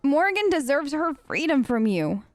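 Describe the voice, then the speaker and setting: High-voiced woman doing game commentary. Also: normal speed, dry recording. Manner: expressive, emphatic, incredulous asides. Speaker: gamer, let's play host. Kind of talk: role-play game commentary, conversational. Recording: close dry mic, faint game music. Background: faint game music.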